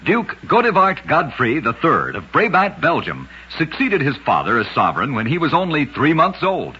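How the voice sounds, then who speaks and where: A man narrates scripted oddity tales in an old radio style. His voice is very husky yet bright and forceful, with a storyteller's dramatic delivery. The recording is dull and lo-fi, like a vintage broadcast. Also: a little high, wildly swooping pitch, moderate pace.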